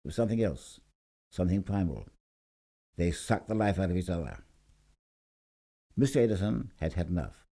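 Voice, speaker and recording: A man's voice: deep voice, animated, moderate pace, long pauses, dark tone. A man reading a scripted short story aloud in a theatrical, emphatic storytelling manner. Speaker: story reader.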